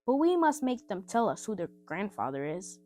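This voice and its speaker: Woman as a stern baker man, lively pitch. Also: moderate pace, soft background music, natural voice. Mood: firm. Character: stern, decisive male baker, grown man.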